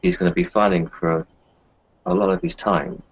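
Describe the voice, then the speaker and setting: A man playing a worldly, wry doctor who gives frank, slightly condescending advice in tabletop roleplay, with a gravelly, husky voice. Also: deep voice, steady tone, normal speed. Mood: gently correcting. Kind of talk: improvised tabletop roleplay dialogue. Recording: dull lo-fi voice recording.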